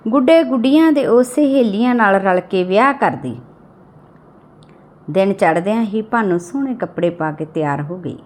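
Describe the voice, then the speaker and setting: A woman's voice: mid-range voice, expressive, normal speed, darkish tone. A woman reading a scripted, sad family story as its narrator.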